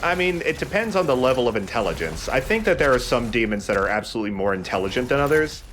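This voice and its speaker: A man's voice: mid-range voice, big pitch swings, fast, fairly bright tone, a little hiss or hum. A man giving casual commentary as he plays through a video game. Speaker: let's player.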